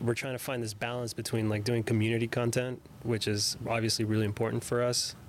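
A man's voice: mid-range voice, flat delivery, fast, a little hiss or hum. A man whose first language is Spanish, explaining his work as a team's video creator at length and earnestly, in a casual chat. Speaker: video content creator for a sports team. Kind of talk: casual podcast conversation.

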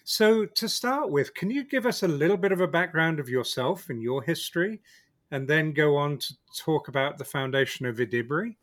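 A man hosting a podcast, reading an introduction and then asking interview questions in a measured way. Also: fairly high pitch, animated, fairly bright tone. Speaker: podcast host.

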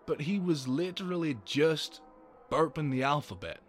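An actor playing a laid-back, apologetic party slacker in a comedy sketch. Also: male voice, on the high side, moderate pace, slight background noise, natural voice. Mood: sheepish.

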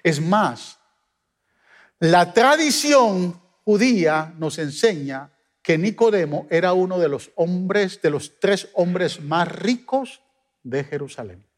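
A Guatemalan man preaching a sermon as pastor, with emphatic rises and sudden soft drops. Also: sits high, expressive, slow pace, long reverb tail. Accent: Guatemalan Spanish. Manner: emphatic preaching, swings between loud peaks and soft drops.

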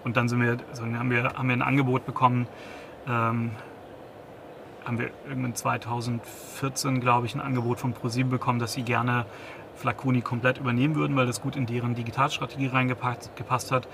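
Gravelly guy in his 30s, flat delivery, talks business w lots of restarts, noisy background. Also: mid-range voice, fast. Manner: hesitant, many restarts and fillers. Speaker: company founder. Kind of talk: business podcast interview.

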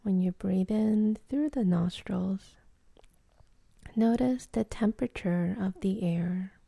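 Soft half-whispering meditation lady, really dark mellow tone, bit of fry, calm and gentle. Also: medium pitch, no echo. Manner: calm, unhurried, gentle, with long pauses. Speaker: meditation guide. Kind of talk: guided meditation.

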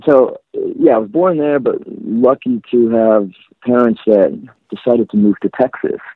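A man from Iran in his thirties, a photographer, talking reflectively in a casual interview with many pauses and restarts. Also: medium pitch, no room sound. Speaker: photographer guest. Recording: tinny phone-line sound.

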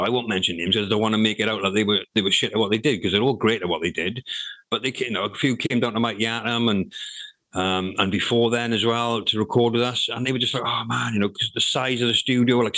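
A man's voice: medium pitch, sharp tone, dry recording, low-quality recording. A middle-aged man, talking casually in an interview about his past as a hip hop artist, rambling and swearing freely.

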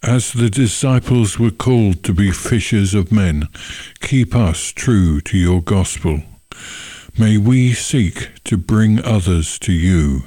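Slow, low-pitched man leading church prayer. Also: mellow, dry recording. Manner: measured, solemn phrasing. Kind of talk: sermon and intercessory prayer.

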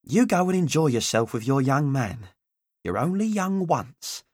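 Guy doing a doting motherly auntie for an audiobook, voice lifted high, homely and affectionate. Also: very animated, unhurried, a little mellow, dry recording, studio quality. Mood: fond, eager. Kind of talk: audiobook character voice.

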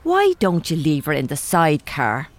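A woman's voice: sits low, huge pitch swings, moderate pace, no room sound. An actress playing a blunt, suspicious Irish woman in a comedy audio drama, complaining in colloquial speech with an Irish accent. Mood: exasperated. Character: blunt, suspicious, no-nonsense Irish woman, reluctant time traveller.

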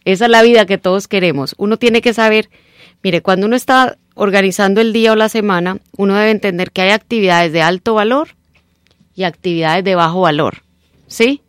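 A middle-aged Colombian woman with a slightly mixed Nicaraguan accent, speaking as a radio guest and time-management trainer in an animated, persuasive coaching tone. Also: medium pitch, a little fast, no room sound, clean studio sound. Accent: Colombian Spanish mixed with Nicaraguan. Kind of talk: radio interview, promoting a seminar.

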